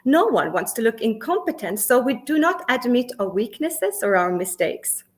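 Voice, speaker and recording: A middle-aged woman from Sweden gives a prepared conference talk in English. She speaks with emphasis, asks the audience questions, lists words one at a time with pauses, and makes small grammar slips and restarts. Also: on the high side, very animated. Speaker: conference speaker and workplace consultant.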